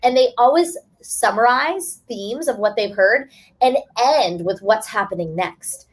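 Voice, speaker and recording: A woman's voice: a little high, animated, measured pace. A woman author speaking as a guest in a webinar, explaining earnestly and restarting phrases now and then. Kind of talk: webinar talk.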